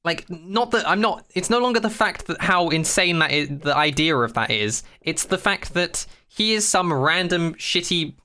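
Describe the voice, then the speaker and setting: Bright-voiced podcast co-host, animated and sweary. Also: medium pitch, moderate pace, few pauses. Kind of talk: casual chat about a TV show.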